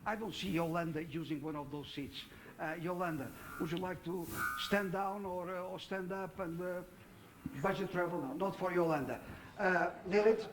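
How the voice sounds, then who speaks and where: A middle-aged man gives a conference talk with slides. He talks haltingly, with many fillers and restarts, and asks the audience questions. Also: sits high, noisy background. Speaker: conference speaker. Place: conference hall.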